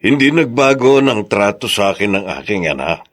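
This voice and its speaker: A man with a Filipino accent playing a gentle, hurt, hesitant elderly father-in-law in a radio drama. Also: on the low side, animated, moderate pace. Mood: hesitant, wistful. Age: older. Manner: hesitant, humble, soft-hearted.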